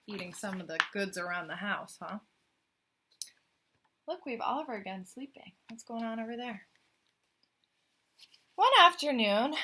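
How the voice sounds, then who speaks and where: A woman with a bright, clear-cut voice at a medium pitch reads a picture book to young children. She tells it expressively, as a storyteller does, and speaks to her listeners in chatty, animated asides.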